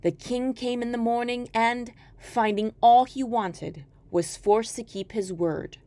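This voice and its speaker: A woman storyteller reading a folktale aloud in an expressive, scripted narration style. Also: a little high, takes it slowly, dry recording.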